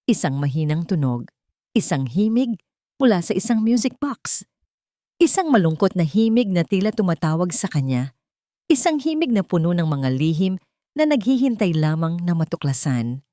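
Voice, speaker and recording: A woman's voice: fairly low pitch, mellow, dry recording, studio quality. A woman reading a scripted romantic drama as a storyteller. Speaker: narrator.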